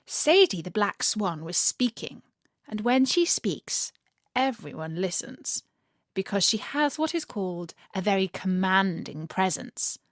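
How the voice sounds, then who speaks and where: A woman narrating a scripted children's story as storyteller and host, with an animated, theatrical and deliberate delivery. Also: medium pitch, no echo, clean studio sound.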